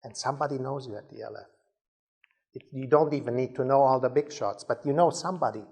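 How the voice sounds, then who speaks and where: Male speaker, mid-pitched and gravelly, giving an informal leadership talk with frequent restarts. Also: fast talker, darkish tone. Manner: conversational, stumbles and repeats words, acts out sample dialogue. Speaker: conference speaker.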